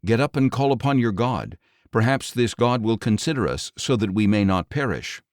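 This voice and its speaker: A man's voice: mid-range voice, animated, normal speed, darkish tone, no echo, studio quality, natural voice. Man voicing an urgent, alarmed old-world seafarer. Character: alarmed male ship's captain and sailors, urgent, demanding. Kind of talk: dramatic audiobook scripture reading.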